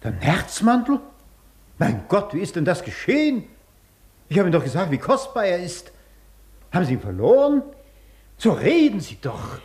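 An actor in a German radio play, playing an agitated, imperious gentleman doctor with a formal, demanding, impatient manner. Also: sits high, huge pitch swings, normal speed, long pauses. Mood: alarmed.

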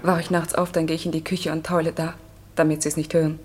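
Actress as a weary, grieving widow, lowish voice. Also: female voice, little pitch movement, fast, close to an everyday voice. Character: grieving, bitter young widowed mother. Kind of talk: German radio crime drama acting.

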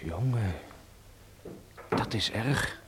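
A man's voice: fairly low pitch, extremely slow. A man acting in a radio drama as an easygoing, cheeky grown son back from sea, with folksy, soothing talk. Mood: shaken, grave. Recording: noisy old radio play recording.

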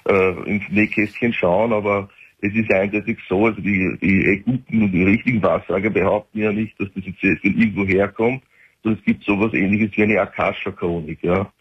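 A man in his forties with an Austrian accent, calling in over a dull, lo-fi line. His voice sits low and a little husky. He rambles with many fillers and restarts. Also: steady tone, a little fast. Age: 40s. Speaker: caller. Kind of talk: phone-in call.

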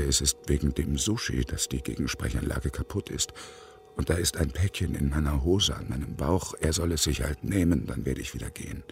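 An actor playing a brooding male courier in a radio drama monologue, hushed, halting and deliberate. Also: a bass voice, creaky, exaggerated voice. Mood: tense, hushed. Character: brooding male delivery courier, darkly fascinated by danger. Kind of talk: dramatic first-person monologue.

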